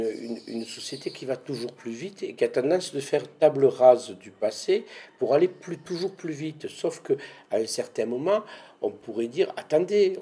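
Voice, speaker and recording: Husky-voiced man thinking aloud. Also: mid-range voice, warm tone. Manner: searching for words, many restarts, earnest. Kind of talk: casual conversational interview.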